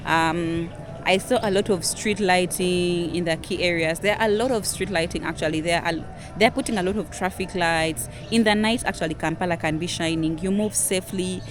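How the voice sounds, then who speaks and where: Chatty Ugandan woman vlogger, lower voice. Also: moderate pace, music in the background. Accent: Ugandan English. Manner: chatty, says "guys" constantly, drops to a low murmur on it. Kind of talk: casual talking-to-camera vlog.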